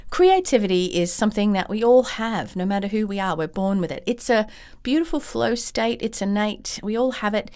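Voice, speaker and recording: A woman hosting her own podcast as a creativity coach, talking straight to listeners in an encouraging way, a little croaky from a chest cold. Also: medium pitch, animated, normal speed. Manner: warm, encouraging, direct to listeners. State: slightly croaky from bronchitis. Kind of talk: solo podcast talk.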